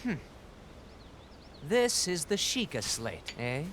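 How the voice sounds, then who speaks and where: A man's voice: fairly high pitch, very animated, takes it slowly, a bit bright, slight background noise, full character voice. A man playing a haughty, disdainful bird warrior in a video game, with a snide manner. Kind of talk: video game cutscene acting.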